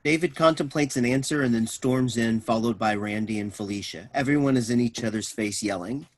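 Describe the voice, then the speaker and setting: A man's voice: medium pitch, a little fast. A man reading stage directions as scripted narration for a play reading.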